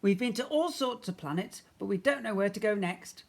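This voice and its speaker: A man plays an adventurous spaceman for a children's storybook. The voice lifts higher and exclaims decisively, eager to move on to somewhere better. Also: a little fast, gravelly. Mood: lost, exasperated.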